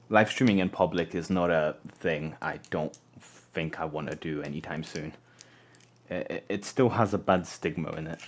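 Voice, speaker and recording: Brief: Polish-born man, low-ish voice, casual streamer, halting and muttering while he tinkers at the bench. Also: slight background noise. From born in Poland, raised mostly in England. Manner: hesitant, restarts often, murmurs to himself while tinkering. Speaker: electronics livestream host. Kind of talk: casual live stream chat while taking apart a camera.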